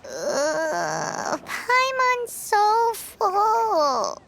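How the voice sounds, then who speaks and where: A tiny floating sidekick with an extremely high, squeaky, childlike voice. The delivery is cheeky, very animated and drawn out, with music behind it. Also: female voice, very slow, vocal fry, exaggerated voice. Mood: stuffed, groaning. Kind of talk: animated game voice acting.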